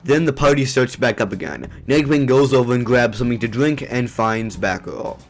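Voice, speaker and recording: A man narrating the action of an amateur superhero audio drama in an even storytelling voice. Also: medium pitch, moderate pace, soft background music.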